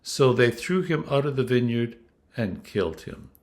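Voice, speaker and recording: A man reading scripture as a clergyman, with a measured, dramatic storytelling delivery. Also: mid-range voice, lively intonation.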